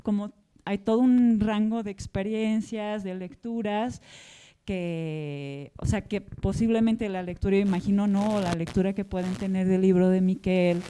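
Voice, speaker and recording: A woman's voice: medium pitch, steady tone, a little slow, warm tone, clean tone. A woman from Portugal moderating a literary panel, speaking reflectively and discursively, often checking in with short tag questions. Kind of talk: reflective spoken question with personal anecdote at a public talk.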